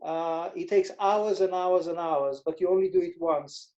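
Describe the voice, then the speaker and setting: A man's voice: high-pitched, normal speed, dark tone, highs cut off. A man from Israel with an Israeli accent, a financial advisor explaining his methods in a casual interview and repeating words for emphasis.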